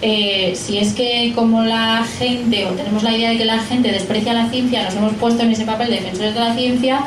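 A woman's voice: on the high side, steady tone, moderate pace. A woman in her late twenties with a Spain Spanish accent, speaking informally at a live talk and answering questions. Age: late 20s. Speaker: guest speaker, science and tech journalist. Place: small pub event room, indoors. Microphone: handheld PA mic, picked up by a camera. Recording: echoey room, distant camera mic, faint noise.

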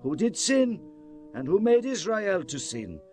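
An old prophet in an audio scripture drama, stern and solemn. His voice sits high for a man as he declaims doom gravely. Music runs underneath. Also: big pitch swings, unhurried, a little mellow. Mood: foreboding. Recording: music bed behind the voice.

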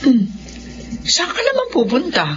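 A woman's voice: high-pitched, wildly swooping pitch, a little slow, exaggerated voice. A woman playing an anxious, overprotective mother, insistent and pleading, in Filipino radio drama style. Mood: worried pleading. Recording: dull audio with faint noise.

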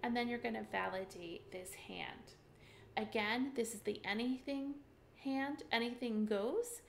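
A woman's voice: on the high side, unhurried, gravelly. Middle-aged woman on a webcam, chatty upbeat teacher, slight hiss behind her. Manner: upbeat, explains step by step with "um"s. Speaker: mahjong teacher. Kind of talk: screen-share tutorial walkthrough.

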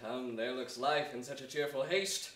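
Man acting noble young war leader, stately verse, forceful high voice, echoey stage from a distance. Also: moderate pace, lightly acted. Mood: calm, resolved. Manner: stately, rousing declamation. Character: noble, resolute young rebel commander rallying his troops. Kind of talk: staged reading of Shakespearean verse, oratorical. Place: on stage in a theatre.

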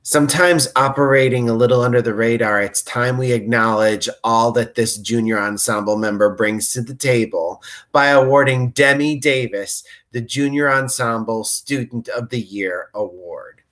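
A man in his forties with a medium-pitched voice presents an award from a script. He speaks from a room at home into a computer at desk distance. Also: normal speed, fairly bright tone.

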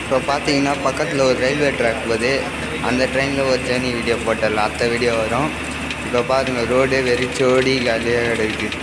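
A man's voice: medium pitch, fairly even intonation, a little fast. A man casually narrating a bus journey from the front of a moving bus, announcing each stop as it comes. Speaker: travel vlogger narrating a bus ride. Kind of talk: casual running commentary. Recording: loud bus engine and road noise.

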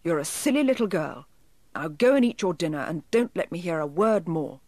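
A woman's voice: fairly low pitch, expressive, a little mellow, no room sound. Woman acting a cross, scolding British mother. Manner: brisk, scolding.

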